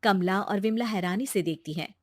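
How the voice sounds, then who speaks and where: A woman's voice: mid-range voice, moderate pace, no room sound, studio quality. A woman reading scripted narration for a children's moral story.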